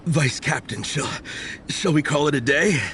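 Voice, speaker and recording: A man's voice: fairly high pitch, a little slow, background noise. A man playing a worn-out, reluctant young trainee in an anime game, hesitant and grumbling. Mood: weary, hesitant. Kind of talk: anime game character acting. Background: game music.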